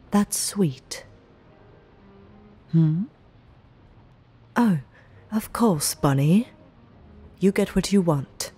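A woman's voice: lowish voice, mellow, breathy edge. A woman playing a playfully menacing, eccentric mob underling in an audio roleplay, speaking coyly in theatrical, old-fashioned phrases. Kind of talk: ASMR audio roleplay acting.

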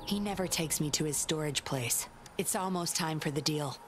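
A woman playing a composed, confident young undercover investigator in a video game, speaking in a measured, self-assured way. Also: deep voice, faint music behind, close to an everyday voice. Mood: businesslike.

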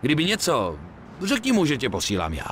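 A man's voice: medium pitch, huge pitch swings, a bit bright, slight background noise. A man voicing a weary, streetwise middle-aged gangster in a Czech video game dub. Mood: businesslike. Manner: colloquial, grumbling, swearing.

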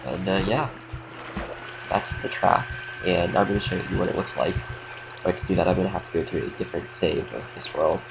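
Deep, gravelly young man with a cold. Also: fairly quick, music in the background, poor audio. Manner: relaxed, rambling, lots of fillers. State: slightly congested from a cold. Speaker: game tutorial creator. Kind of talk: casual gameplay tutorial commentary.

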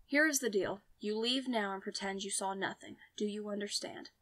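A woman voicing a suave, self-assured and dangerous Frenchman with a French accent and a smooth, teasing delivery in an audio story. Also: mid-range voice, moderate pace, a bit bright, lightly acted. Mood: calmly menacing. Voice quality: smooth, sweet. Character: suave, flirtatious, menacing Frenchman with a gun.

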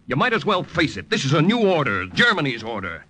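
A man's voice: on the high side, huge pitch swings, a little fast, bright, forceful tone, full character voice. An actor playing a cool, calculating male spy who poses as a collaborator in an old radio drama. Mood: hard, persuasive. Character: male OSS agent posing as Nazi collaborator, cool, calculating. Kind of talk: 1940s-50s radio drama acting and first-person narration. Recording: old radio recording with music behind.

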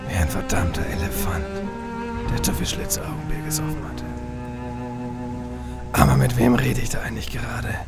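An actor playing a bitter, hard-boiled old detective close to retirement, narrating in a gruff, brooding voice. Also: male voice. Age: older. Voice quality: deep gravelly, hard-boiled. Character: bitter gruff aging male noir detective. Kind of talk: noir parody audio drama.

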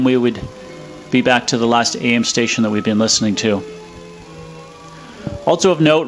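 A man explaining a demonstration in a measured, matter-of-fact way, with a radio playing. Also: mid-range voice. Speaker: presenter demonstrating a vintage radio. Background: radio playing music and stations.

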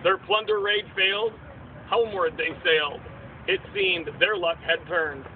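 A man's voice: sits high, unhurried. A man narrating a puppet show in dramatic, theatrical storyteller verse. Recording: tinny outdoor recording, faint noise.

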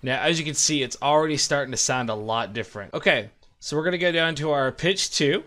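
A man in his thirties giving an informal, upbeat screen-recorded software tutorial as host. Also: on the high side, expressive, fairly quick. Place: indoor room at a desk.

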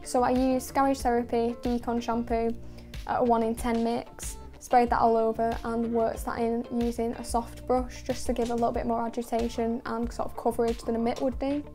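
A young woman giving a calm, measured voice-over about washing a car, with many casual fillers. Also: on the high side, soft background music. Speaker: car detailing channel host. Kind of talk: voice-over narration of a car wash.

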